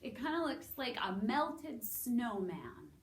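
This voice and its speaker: A woman hosting story time for young children, speaking in a sing-song, encouraging way and pausing as if for their answers. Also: on the high side, expressive. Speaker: children's story time host at a public library. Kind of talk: children's storytelling and singing, addressing young listeners. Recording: roomy echo, distant microphone.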